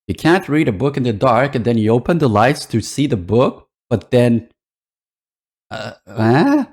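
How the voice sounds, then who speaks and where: A man's voice: medium pitch, big pitch swings, unhurried, a little mellow. A man streaming a reaction to videos, talking casually, swearing and thinking aloud.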